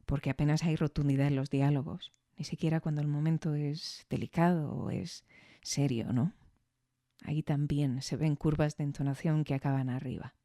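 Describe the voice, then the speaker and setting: Dark, mellow-voiced podcast reviewer, dry sound. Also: medium pitch, fairly even intonation, no room sound. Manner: measured, didactic, questioning. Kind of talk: reflective spoken analysis.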